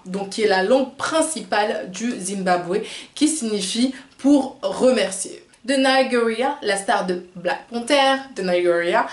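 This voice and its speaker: A woman hosting a video, reading out prepared narration and quotations. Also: on the high side. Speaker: video host. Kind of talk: scripted narration with read-out quotes. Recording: roomy, echoing sound.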